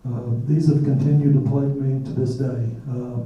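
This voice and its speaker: A man in his sixties with a raspy voice, giving a halting public talk at a community forum. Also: mid-range voice, flat delivery, dull audio. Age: 60s. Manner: halting, pauses with um and uh. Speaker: guest speaker sharing his illness story. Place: lecture hall. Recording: echoey hall, mic far off.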